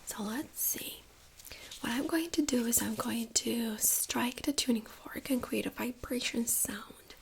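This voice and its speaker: A woman speaking in a breathy whisper, gently and reassuringly, as a doctor in an ASMR medical exam role-play. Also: a little high. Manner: gentle, reassuring, unhurried clinical explaining.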